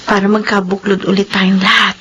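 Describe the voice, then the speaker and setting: A woman's voice: mid-range voice, little pitch movement, a little fast, a bit bright, lo-fi sound, a little hiss or hum, close to an everyday voice. A woman acting a young, forgiving daughter in a Filipino radio drama, speaking respectfully and earnestly. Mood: soft, reflective. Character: gentle, forgiving young daughter and sister, female.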